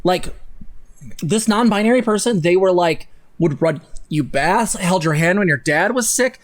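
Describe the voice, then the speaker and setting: A woman's voice: on the low side, animated, a little slow. A young woman co-hosting a livestream with casual, mocking, sarcastic commentary and plenty of exclamation. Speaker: livestream co-host. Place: indoors at a desk in a home streaming setup.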